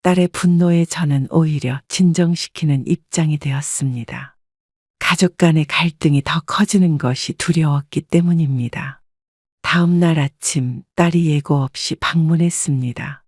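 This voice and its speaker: A woman calmly narrating a first-person life story in a measured, scripted way. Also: deep voice, dry recording, clean studio sound.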